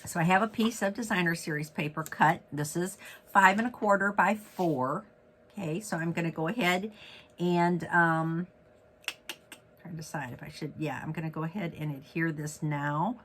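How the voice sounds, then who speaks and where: Male craft host, raspy and congested from a cold, upbeat chatty delivery. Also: fairly high pitch, normal speed, fairly bright tone, a little hiss or hum. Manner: chatty and upbeat, with many fillers and self-corrections. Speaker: stamping demonstrator and host. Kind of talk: casual card-making tutorial.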